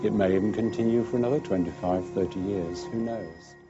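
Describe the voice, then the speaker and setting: A man's voice: low voice, a little fast, dull audio, music in the background. A man making reflective spoken remarks in an archive recording.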